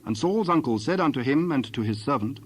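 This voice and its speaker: A man narrating dramatized scripture in a formal, measured storytelling style. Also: medium pitch, expressive, breathy edge.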